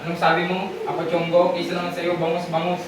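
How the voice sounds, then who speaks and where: Distant, highish-voiced schoolboy, played by a man. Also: completely flat delivery, fast, natural voice. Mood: indignant. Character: young schoolboy, polite yet quick to retort. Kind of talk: amateur school historical dramatization. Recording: noisy echoey room, off mic.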